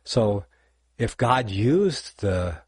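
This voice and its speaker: An older man talks through scripture on a casual livestream, wandering off and correcting himself as he goes. His voice sits very low with a husky edge. The sound is dry and close, with no room echo. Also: sing-song, very slow. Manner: rambling, self-correcting, lots of fillers. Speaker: online Bible teacher.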